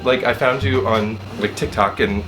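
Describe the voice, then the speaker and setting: A man's voice: mid-range voice, fairly even intonation, normal speed, rough recording. A young man from Miami hosting a casual conversation, chatty and laughing often, with lots of "like". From Miami, Florida. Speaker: host. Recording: music playing in the background.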